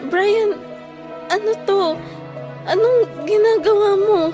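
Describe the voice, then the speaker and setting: A woman acting a feisty, flustered young woman with a tender side, expressive and colloquial, in a romantic audio drama. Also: extremely high pitch, slow pace, over music. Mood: shocked, moved. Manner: expressive, colloquial, exasperated yet affectionate.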